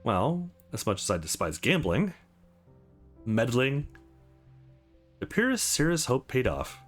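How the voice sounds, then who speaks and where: A man's voice: sing-song, a little slow, soft background music. A man voicing a stern, protective businesswoman in a visual novel, blunt and wry in manner. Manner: blunt, low and reproachful.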